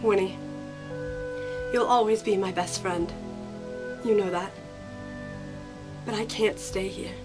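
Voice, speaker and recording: A woman's voice: mid-range voice, fairly even intonation, slow, pauses often, music in the background, natural voice. A woman playing a heartfelt sixteen-year-old girl in an audio drama, emotional and sincere. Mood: tearful, heartfelt.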